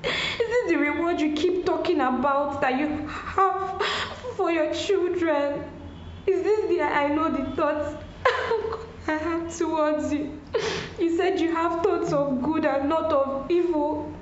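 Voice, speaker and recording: A woman's voice: high voice, takes it slowly. A woman with a Nigerian accent acting an anguished, devout young student addressing God in a radio drama. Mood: tearful, aggrieved. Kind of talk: emotional radio drama monologue. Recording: muffled, roomy, faint background noise.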